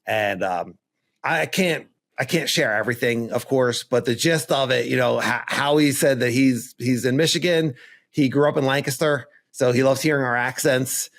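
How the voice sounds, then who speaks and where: A man's voice: medium pitch, moderate pace, a bit bright. Upbeat man with a Philly accent. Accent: Philadelphia. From Philadelphia suburbs, USA. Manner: upbeat, talkative, laughs easily. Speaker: podcast host. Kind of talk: casual chat.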